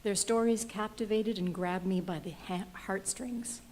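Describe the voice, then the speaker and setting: A soft-spoken woman presenting an award, reading out nominees and jury remarks. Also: mid-range voice, steady tone, measured pace.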